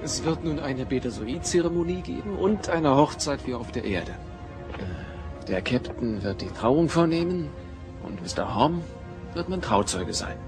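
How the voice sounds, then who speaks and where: A man's voice: medium pitch, expressive, a little slow. An actor playing an earnest, eager young male doctor and bridegroom in a radio drama. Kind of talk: German radio play acting. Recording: loud music behind radio drama.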